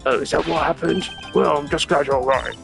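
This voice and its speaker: Hearty, simple, loyal male detective, video game played by a man; gravelly, brisk, plain-spoken. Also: on the high side, fairly even intonation. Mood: friendly. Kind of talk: voiced reading of video game dialogue. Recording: game music behind the voice. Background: game music.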